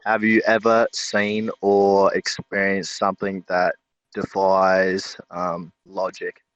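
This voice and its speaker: A man's voice: deep voice, completely flat delivery, slow pace, a bit bright, dry recording. A young man chatting casually as a podcast guest. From Australia. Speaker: band member and podcast guest. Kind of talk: casual chat. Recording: slightly dull, muffled audio.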